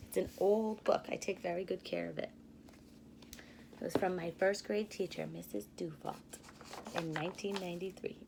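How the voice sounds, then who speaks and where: A woman in her thirties reading a children's storybook aloud like a teacher, expressively, pausing to explain and ask questions. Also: on the low side, fairly even intonation, vocal fry, noisy background.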